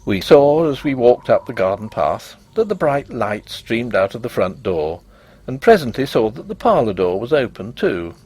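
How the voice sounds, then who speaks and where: A man narrating a literary ghost story in the first person, in an expressive, theatrical manner. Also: medium pitch, normal speed, breathy edge.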